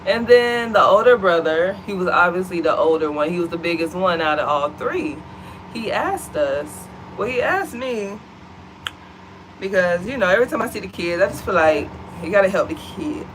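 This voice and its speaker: American lady chatting to y'all from home, lively and talky, bit of hiss behind. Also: on the low side, normal speed, rough recording. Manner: chatty, animated, addresses viewers as y'all. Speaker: vlog co-host. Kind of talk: casual chat to viewers. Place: at home, seated.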